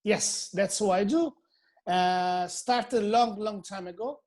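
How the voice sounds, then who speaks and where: A man's voice: sits high, slow pace, a little mellow. Hesitant Italian-accented man. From Italy. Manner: hesitant, many fillers and restarts. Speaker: guest hairdresser. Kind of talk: casual interview chat.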